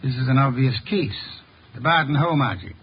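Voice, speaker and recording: An actor playing an imperious, curmudgeonly genius detective in a 1950s radio drama, curt, gruff and pompous. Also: male voice, medium pitch, fairly quick, natural voice. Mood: terse, pondering. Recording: muffled, noisy old radio recording.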